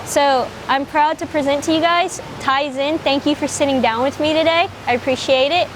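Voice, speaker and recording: A woman hosting a casual interview, upbeat and quick with short affirmations. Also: high voice, fairly even intonation, noisy background. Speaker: interview host.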